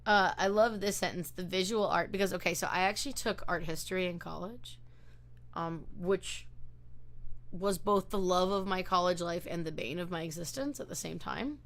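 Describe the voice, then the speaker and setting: Lowish-voiced woman host, loose and rambling, on a video call. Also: normal speed. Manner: chatty, rambling, many fillers and restarts. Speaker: book club host. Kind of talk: casual book discussion over a video call.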